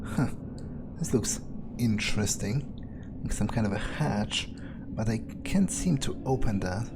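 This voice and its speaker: A man's voice: lowish voice, lively intonation, measured pace, vocal fry, background noise. A man roleplaying an irritable, foul-mouthed male vampire in a video game, musing aloud in a hesitant way. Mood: musing, wary. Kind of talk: in-character roleplay commentary over gameplay. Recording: music behind the voice. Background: game music.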